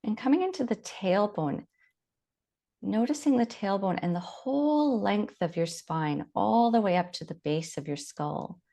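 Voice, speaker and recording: A woman leading a guided body scan meditation, giving gentle, unhurried instructions with long pauses. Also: medium pitch, animated, vocal fry.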